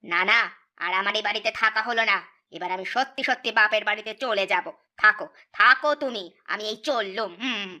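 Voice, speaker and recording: A woman's voice: medium pitch, brisk, pushed and bright. A woman voicing a spiteful, sulky young village wife in a children's cartoon, petulant and sarcastic. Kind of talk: Bengali children's cartoon voice acting.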